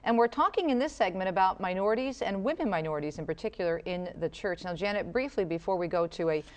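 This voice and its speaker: A woman co-hosting a talk show, briskly steering the conversation and introducing segments. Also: medium pitch, lively intonation. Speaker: co-host.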